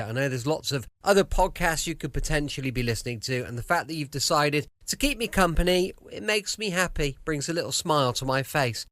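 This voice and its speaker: A British man hosting a cosy late-night podcast with gentle, homely, chatty introductions. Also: a little high, dry recording, studio quality. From southwest United Kingdom. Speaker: podcast host.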